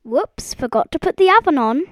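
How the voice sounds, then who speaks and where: A woman's voice: fairly high pitch, very animated, normal speed, no echo, studio quality. A woman voicing a flustered, easily exasperated female bird who runs a cafe, in a children's story.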